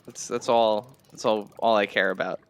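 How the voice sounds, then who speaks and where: A man co-hosting a podcast in casual chat, speaking haltingly and often repeating his words. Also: lowish voice, steady tone. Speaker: podcast co-host.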